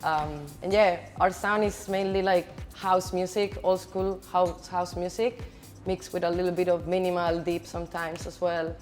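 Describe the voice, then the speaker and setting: A woman from Chile, raised in Spain, who speaks. She is a DJ and event organizer giving relaxed, chatty interview answers full of "like" and "you know". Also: on the low side, moderate pace, few pauses, soft background music. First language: Spanish.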